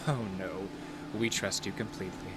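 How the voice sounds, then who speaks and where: An actor playing a smooth, mysterious stranger with morbid curiosity, polite and insinuating, in an audio drama. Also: male voice, on the low side, moderate pace, heavy background noise, natural voice. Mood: smoothly polite. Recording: clear background ambience, vehicle-like drone.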